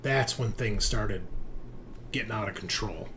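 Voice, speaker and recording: A man voicing a bitter, foul-mouthed woman, a former space station manager held prisoner, grim and halting in a tabletop game. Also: on the low side, background noise, pitched below the performer's own voice. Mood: bitter, resentful. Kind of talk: tabletop game role-play.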